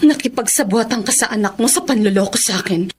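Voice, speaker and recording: A woman's voice: mid-range voice, fast talker, a little hiss or hum, close to an everyday voice. A woman playing an anguished, accusing middle-aged betrayed wife in a Filipino radio drama, tearful and confrontational, with a Filipino accent. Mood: cold accusation. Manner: tearful, confrontational, emotionally heightened. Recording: dramatic music behind the voice.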